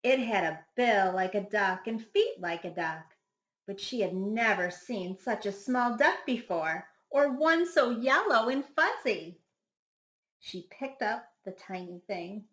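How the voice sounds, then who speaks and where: A woman reading a children's picture book aloud like a schoolteacher, with dramatic, deliberate phrasing. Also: on the high side, animated. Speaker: first grade teacher reading a picture book. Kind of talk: expressive children's storybook read-aloud. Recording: echoey room sound.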